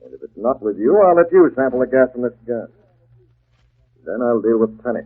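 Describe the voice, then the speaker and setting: An actor playing a masked vigilante posing as a menacing crime boss, commanding and clipped, in 1940s radio style. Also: male voice, mid-range voice, sing-song, brisk, breathy edge. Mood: threatening, commanding. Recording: muffled, tinny 1940s radio recording.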